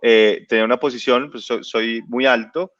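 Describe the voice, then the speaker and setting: A man's voice: mid-range voice, fairly even intonation, normal speed, a bit bright, no room sound, highs cut off. A man in his late thirties, a business guest, telling his life story in a casual interview with many hesitations and restarts. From born in Spain, raised in Ecuador and Colombia. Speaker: guest entrepreneur.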